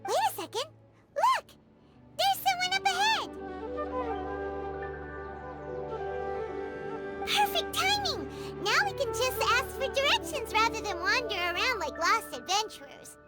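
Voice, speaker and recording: A woman voicing a small, excitable, chatty fairy-like girl companion in a video game, with a squeaky, childlike voice. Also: normal speed, loud music behind the voice. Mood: excited. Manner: exclamatory, refers to self in third person. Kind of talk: animated video game voice acting.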